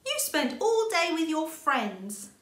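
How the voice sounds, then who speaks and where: A woman voicing a bouncy, eager young girl rabbit, excitable and squealing, in a storybook reading. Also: high-pitched, takes it slowly, roomy sound, voice pushed up, exaggerated voice. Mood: excited.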